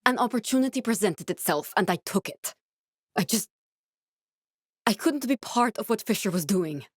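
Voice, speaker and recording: An actress playing an earnest, guarded woman scientist in a video game, speaking in a measured, serious, instructive way. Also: mid-range voice, expressive, husky, no echo, studio quality. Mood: troubled, regretful.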